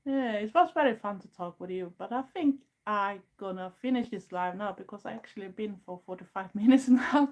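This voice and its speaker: A woman's voice: mid-range voice, darkish tone. A woman in her fifties with a Swedish accent, a native Swedish speaker, chatting casually and frankly with viewers on a livestream in plain, earnest second-language English. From Sweden. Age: 50s. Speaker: goth YouTuber hosting a livestream. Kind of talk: casual live chat answering viewers.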